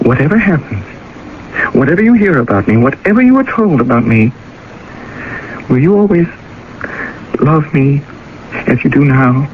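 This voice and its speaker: An actor playing a tormented, exhausted young former student in a radio drama, speaking in a halting, anguished way. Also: male voice, on the high side, very animated. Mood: despairing, tender. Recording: muffled, very noisy vintage radio recording.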